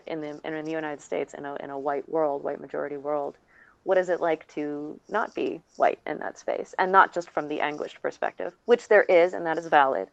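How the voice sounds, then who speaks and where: A woman's voice: deep voice, creaky, dry recording. A woman panelist talking casually and emphatically, often checking in with her listeners, on slightly dull audio.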